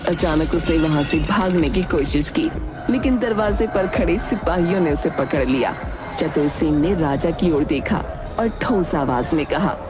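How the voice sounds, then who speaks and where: A woman's voice: low-pitched, animated, measured pace. A woman narrating a scripted dramatic folk tale as a storyteller. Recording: music under the narration.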